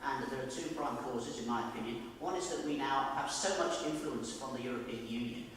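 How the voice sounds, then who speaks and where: Man, forthright candidate, rapid talk, long reverb, faint noise. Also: medium pitch, a bit bright. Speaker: parliamentary candidate. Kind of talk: debate answer at a public hustings. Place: large echoey hall. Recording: echoey hall, mic far off.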